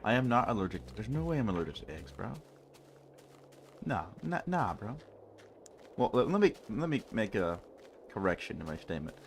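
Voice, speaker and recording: A man's voice: lowish voice, big pitch swings, moderate pace, pauses often, a little hiss or hum. A man with a stuffy nose chatting casually and rambling jokily with his chat while streaming a game. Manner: rambling, jokey, talks to chat.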